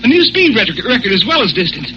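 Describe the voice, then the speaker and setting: An actor playing an eager, boastful young inventor in a 1940s radio drama, earnest and boyish. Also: male voice, high voice, big pitch swings, quick pace. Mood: excited boasting. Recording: muffled noisy old radio recording.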